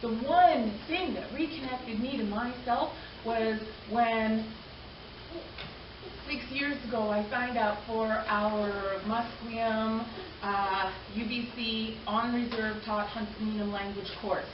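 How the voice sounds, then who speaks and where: A woman's voice: a little high, steady tone, takes it slowly. A woman in her forties who grew up in Canada, speaking earnestly and from the heart to a gathering, repeating phrases for emphasis. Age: 40s. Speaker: panel speaker. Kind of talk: personal talk to a gathering. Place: community arts event hall. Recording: echoey room, distant mic, dull sound, background noise.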